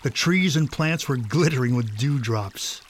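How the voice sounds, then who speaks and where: A man's voice: mid-range voice, lively intonation, a little slow, slight background noise. A man narrating a scripted children's story.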